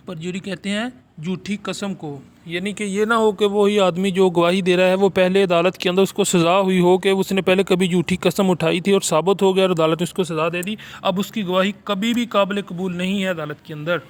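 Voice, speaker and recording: A man with a Pakistani accent and a high-pitched voice gives an informal lesson. He shifts between careful, halting reading of notes and looser explanation, like a teacher preparing students for an exam. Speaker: law teacher. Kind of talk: informal exam lecture, explaining read-out English notes in Urdu.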